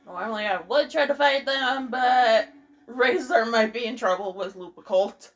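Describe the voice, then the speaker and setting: A woman's voice: a little high, measured pace, soft background music. A woman giving casual, off-the-cuff commentary as she plays a video game. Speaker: gamer, host of a let's play.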